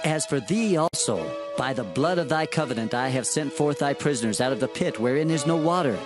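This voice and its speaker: An actor playing a solemn prophet, declaiming divine oracles in archaic language in a dramatized scripture reading. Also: male voice, mid-range voice, lively intonation, a little fast, over music, lightly acted. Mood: solemn, stern.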